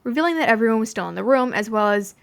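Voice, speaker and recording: A woman's voice: on the high side, expressive, rapid-fire, vocal fry, smooth. A woman reading fan fiction aloud as a podfic narrator, in storytelling prose with acted dialogue.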